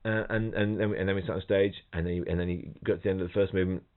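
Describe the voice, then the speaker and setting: A man's voice: deep voice, fairly even intonation, rapid-fire. A middle-aged man, a professional trombonist, chatting casually and telling anecdotes, often restarting sentences and mimicking people and rhythms. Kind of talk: casual podcast chat, anecdotes. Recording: thin phone-like audio.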